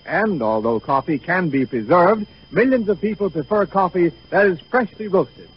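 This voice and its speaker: Vintage radio commercial man: high, measured, emphatic sales read, dull old broadcast sound. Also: expressive, breathy edge. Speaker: commercial announcer. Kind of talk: 1930s radio advertisement, scripted. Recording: muffled 1930s radio recording.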